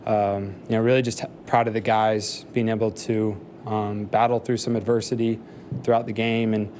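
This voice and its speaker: A young man in his twenties answering questions at a postgame press conference, soft-spoken with many fillers. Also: lowish voice, level tone, normal speed, slight background noise. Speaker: football player at a press conference.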